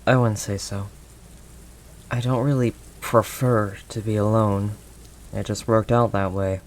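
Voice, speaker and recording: A man playing a protective older male werewolf loner, gentle and conversational, in an intimate audio roleplay. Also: fairly low pitch, fairly even intonation, unhurried, a little hiss or hum, lightly acted. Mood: guarded, easygoing. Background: rain ambience sound effects.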